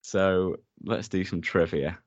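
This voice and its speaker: A man co-hosting a podcast, sick with a rough voice that is deeper than usual, laying out facts steadily. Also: slow pace, dry recording, dull audio. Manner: steady, presents facts and synopsis methodically. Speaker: podcast co-host. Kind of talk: casual chat.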